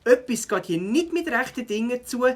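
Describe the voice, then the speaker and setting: A woman's voice: a little high, lively intonation, normal speed. A woman voicing a young male beaver, caring and determined, in a children's audio drama, earnest and Swiss-accented.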